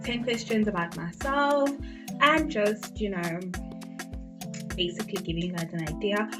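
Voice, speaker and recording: A woman's voice: medium pitch, normal speed, loud music behind the voice. Chatty young South African woman vlogger. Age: 20s. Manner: chatty, warm, casual, lots of fillers. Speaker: beauty vlogger. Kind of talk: casual get-to-know-me chat.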